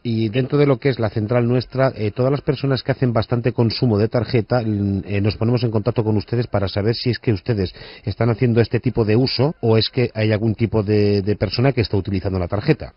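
Un hombre que interpreta a un empleado de banco formal, insistente y prolijo en una broma telefónica. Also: male voice, lowish voice, fairly even intonation, quick pace, husky, no echo. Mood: formal, officious. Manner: polite, officious, hesitant, wordy. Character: formal, insistent male bank card-department official. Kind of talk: prank phone call acting. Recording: dull lo-fi radio phone call.

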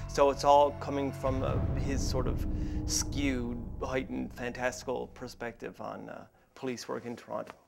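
Guy in his 30s, gravelly fry, medium pitch, chatting over music. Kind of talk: casual interview talk. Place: indoor office with artwork on walls.

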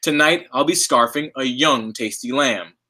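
A sly old wolf from a children's picture book, gleefully plotting his next meal, as a man reading aloud puts him on. He sounds thoroughly pleased with his own cleverness. The tone is bright and forward. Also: medium pitch, unhurried. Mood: gloating. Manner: sly, self-satisfied, gleeful scheming. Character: wily, boastful old male wolf.

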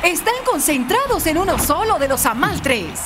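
A woman's voice: sits high, sing-song, moderate pace, over music. A woman voicing a dramatic young soccer co-commentator in a cartoon. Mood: concerned. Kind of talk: sports commentary.

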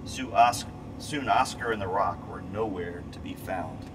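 A middle-aged man reading a rhyming children's storybook aloud in an expressive way, with the odd stumble. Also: deep voice, a little slow. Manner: expressive read-aloud delivery with stumbles and rereads. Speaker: story reader. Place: small cramped space station window module. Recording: distant mic, loud steady ventilation hum. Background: loud steady air-conditioning-like hum.

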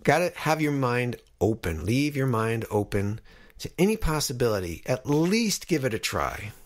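A man hosts a podcast in an informal, conversational way. His voice sits at an average pitch for a man. He speaks encouragingly, as if chatting directly to his listeners. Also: sing-song, normal speed. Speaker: podcast host. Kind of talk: casual podcast talk.

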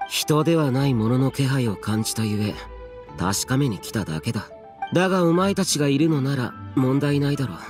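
An actor playing an ancient yet youthful guardian spirit warrior in an anime game, stern and aloof, speaking in an archaic, solemn, terse way. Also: male voice, medium pitch, animated, a little fast, a little mellow, soft background music, natural voice. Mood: reserved, grave.

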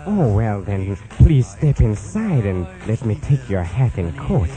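Horror-comedy radio butler: very slow, animated pitch, deferential and creepy. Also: male voice, over music. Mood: politely eerie. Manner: formal, halting, deferential. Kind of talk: comic horror radio play acting.

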